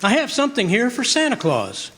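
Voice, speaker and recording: A man playing a bluff, excitable department store boss in a stage radio drama, hearty and exclamatory. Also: very high-pitched, brisk, exaggerated voice. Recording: echoey hall stage recording.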